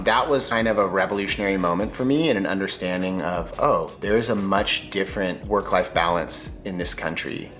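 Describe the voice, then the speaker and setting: A young American man with a very deep voice walks through his vlog in an even, scripted way. His delivery is clear and practiced. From United States. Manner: clear, steady presenter delivery. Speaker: YouTuber.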